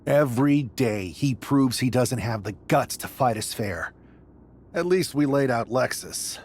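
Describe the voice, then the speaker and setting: An actor playing a confident, scheming male wrestler in a video game cutscene, talking in a measured, plotting way. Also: medium pitch, animated, a little mellow, slight background noise, lightly acted. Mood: sly, determined.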